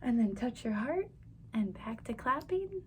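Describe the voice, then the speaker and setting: A woman telling stories to young children as a library storyteller, with simple, encouraging address and an animated picture-book reading style. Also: fairly high pitch, measured pace, slight background noise.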